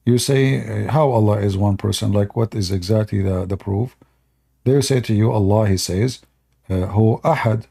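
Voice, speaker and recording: A man with an Arabic accent explains at length on a live stream, circling back and repeating his points. His voice is husky with a gravelly edge and a dark, mellow tone. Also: fairly low pitch, normal speed. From Middle East. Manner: unscripted explaining, with fillers and repetition.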